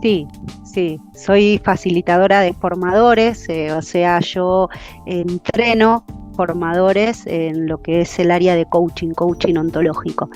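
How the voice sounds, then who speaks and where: Argentine woman, buenos aires accent, interview guest, chatty, laughs often, soft guitar behind. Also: lowish voice, steady tone, takes it slowly. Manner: talkative, laughs often, self-correcting. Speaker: guest, coaching trainer. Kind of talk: conversational interview storytelling.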